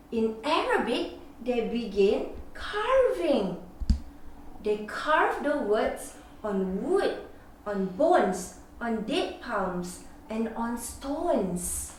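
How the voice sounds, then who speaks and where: A mother with a Singaporean accent reading a children's picture book aloud, expressive and sing-song, with gasps. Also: fairly high pitch, very slow, a little hiss or hum. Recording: echoey room, mic at a distance.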